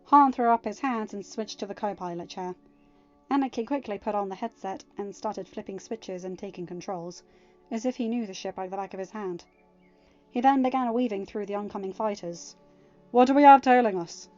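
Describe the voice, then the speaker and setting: A woman narrating a fan story in a steady reading voice, then chatting casually to listeners. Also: mid-range voice, normal speed, dull audio, soft background music. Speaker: fanfiction audiobook reader.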